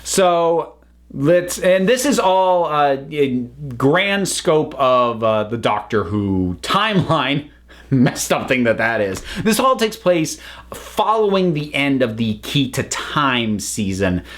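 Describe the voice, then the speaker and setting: A man's voice: medium pitch, big pitch swings, slow pace, a bit bright. A man giving a casual, unscripted review, chatty and rambling as the host.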